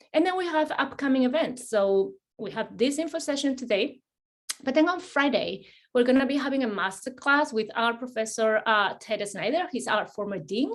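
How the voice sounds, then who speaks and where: A middle-aged woman with a Spanish accent presents an online information session. Her voice sits a little high. She talks in a friendly way, with lots of "uh" and "um" and sentences she starts over. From Spain. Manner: friendly, many fillers and restarts. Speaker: assistant dean hosting an admissions webinar. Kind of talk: webinar presentation.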